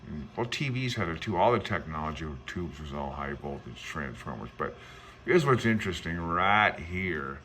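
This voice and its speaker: A man's voice: deep voice, animated, normal speed, sharp tone, slight background noise. A man giving casual, rambling commentary on a book as a hobbyist host, in a chatty, amused way that wanders off on tangents. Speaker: amateur radio hobbyist host.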